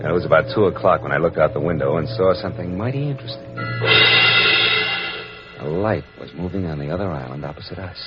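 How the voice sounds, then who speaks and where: An actor with an American accent playing a tough, wisecracking private detective in a vintage radio drama, talking in sardonic, cynical slang. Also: male voice, low-pitched, animated, fast. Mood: tense narration. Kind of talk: hardboiled 1940s-50s radio detective acting and narration. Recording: muffled vintage radio recording, music underneath.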